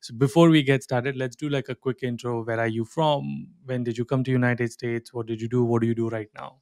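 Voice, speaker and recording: A man's voice: medium pitch, steady tone, fairly quick, darkish tone. A man from India hosting an interview, reading a sponsor intro and then chatting casually with lots of fillers and restarts. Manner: casual, frequent fillers and restarts, laughs often. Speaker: host.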